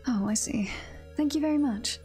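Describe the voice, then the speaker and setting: A woman voicing a gentle, motherly goat-monster caretaker from a game, with polite, careful, formal phrasing. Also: a little high, moderate pace, pitched above the performer's own voice, lightly acted. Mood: polite, grateful. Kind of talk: reading game dialogue in character. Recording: game music behind the voice.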